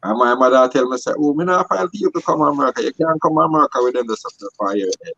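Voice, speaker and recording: A man's voice: medium pitch, very fast, fairly bright tone, dry recording, highs cut off. A man with a Jamaican accent reasoning and telling stories casually, emphatic and repeating phrases to drive them home.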